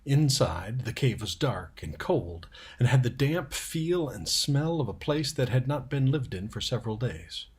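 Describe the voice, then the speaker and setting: A man's voice: medium pitch, sing-song, a little slow, few pauses, darkish tone, husky. A man narrating a storybook expressively as a storyteller.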